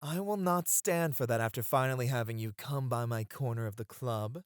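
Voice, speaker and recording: A man's voice: medium pitch, normal speed, clean tone, dry recording, clean studio sound, close to an everyday voice. A man playing a teasing, dramatic, flirty club host in an ASMR roleplay, with a coy, effusive manner. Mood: delighted, gushing.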